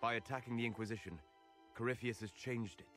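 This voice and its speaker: A man playing a learned, wary elf adviser in a video game, speaking calmly and gravely with deliberate, formal phrasing. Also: mid-range voice, faint music behind, natural voice. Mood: grave, measured. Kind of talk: dramatic video game dialogue.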